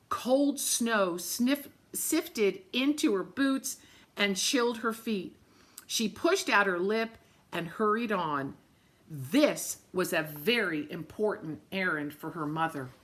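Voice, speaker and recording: A woman's voice: medium pitch, bright, forceful tone. A woman reading a picture book aloud expressively, with dramatic phrasing and little asides to young listeners. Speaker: storybook reader.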